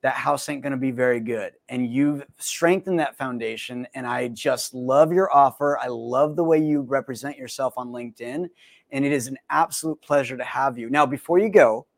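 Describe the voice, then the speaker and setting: An American man hosting a podcast, upbeat and joking with his guest before reading a brisk outro. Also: mid-range voice, creaky. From United States. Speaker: podcast host. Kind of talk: casual podcast chat and read outro.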